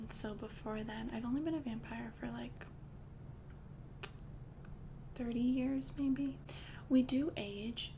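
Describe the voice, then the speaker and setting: A woman whispering as a calm vampire girlfriend. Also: fairly high pitch, creaky, background noise. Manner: soft whispering, chatty and reassuring. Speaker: ASMR creator. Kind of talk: ASMR roleplay.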